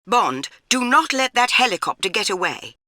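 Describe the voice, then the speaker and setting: An actress playing a stern older British spy chief in a video game, clipped, commanding and dryly disapproving. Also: female voice, fairly high pitch, sing-song, normal speed, bright, forceful tone, dry recording, clean studio sound.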